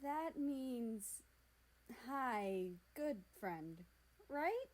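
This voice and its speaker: A woman's voice: a little high, expressive, extremely slow, voice pushed up, poor audio. A woman voicing an eager, bashful, friendly younger brother in a story, in a bubbly way.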